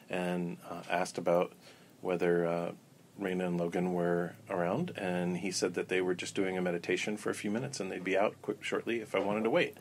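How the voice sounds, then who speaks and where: A man guest in an interview, talking in a relaxed, rambling way with many ums and restarts. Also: low voice, slight background noise. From Florida. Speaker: interview guest, coven elder. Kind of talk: casual storytelling interview.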